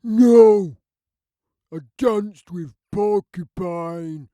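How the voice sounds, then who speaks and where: A man voicing a blunt, friendly ape neighbour in a storybook, with drawn-out, plain-spoken delivery. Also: high voice, mellow, no echo, voice pushed up. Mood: sheepish.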